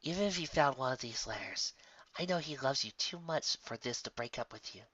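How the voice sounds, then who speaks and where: A reassuring, wry young unicorn mare from a storybook, read by a man. The delivery is soft and half-breathed, close and dry, on a slightly dull recording. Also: mid-range voice, lively intonation, brisk, dry recording. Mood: soothing.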